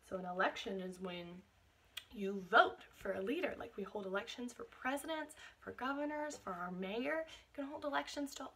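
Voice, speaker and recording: A woman reading a picture book aloud to children in an expressive teacherly way, stopping to explain things. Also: mid-range voice, moderate pace, breathy edge. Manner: expressive, explains words and asks questions to young listeners.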